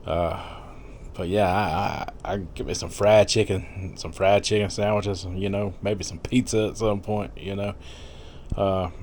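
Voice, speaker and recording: A man in his fifties talks casually to his viewers, rambling along with plenty of fillers and soft chuckles. His voice sits a little low. A faint noise runs under the recording. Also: fairly even intonation, measured pace. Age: 50s. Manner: chatty, rambling, with frequent fillers and soft laughs. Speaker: vlogger. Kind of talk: casual diet vlog.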